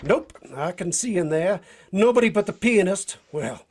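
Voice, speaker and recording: Man playing high, folksy detective. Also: expressive, moderate pace. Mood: curious, grumbling. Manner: folksy, drawling slang, complaining. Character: brash, folksy American detective, restless and needling. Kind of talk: 1940s radio detective drama acting.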